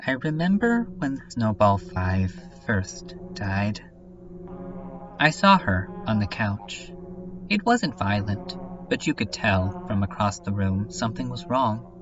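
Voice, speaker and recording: A man playing a lonely, anguished narrator in a horror fanfiction drama, speaking in a brooding, halting monologue. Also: fairly low pitch, sing-song, noisy background. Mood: subdued, haunted. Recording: muffled audio under loud music.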